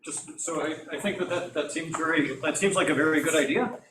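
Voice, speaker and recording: A man's voice: fairly high pitch, brisk. Questioning man in the audience. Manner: probing, questioning. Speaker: audience participant. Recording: echoey room, distant mic, background noise.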